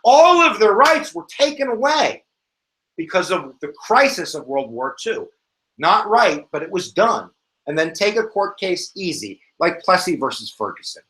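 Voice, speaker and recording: Lively, jokey American history teacher. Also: male voice, sits high, measured pace, low-quality recording. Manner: jokey, animated, slangy. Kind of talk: casual exam review lesson.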